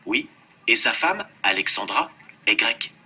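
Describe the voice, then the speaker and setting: A man's voice: medium pitch, very animated, measured pace, sharp tone, breathy edge, exaggerated voice. A man voicing an adult man answering simple questions in a scripted textbook dialogue, plain and matter-of-fact.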